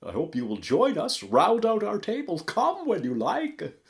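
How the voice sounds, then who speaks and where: Man putting on a high, cheery young nephew. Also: wildly swooping pitch, a little slow, creaky, full character voice. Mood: cheerful, inviting. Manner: bright, high, eager inviting. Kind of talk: rhyming verse storytelling.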